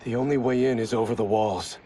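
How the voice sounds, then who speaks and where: An actor voicing a loyal younger ninja brother, earnest and insistent, in a video game cutscene. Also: male voice, mid-range voice, fairly even intonation, a little fast, warm tone, slight background noise, natural voice. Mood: earnest, protesting.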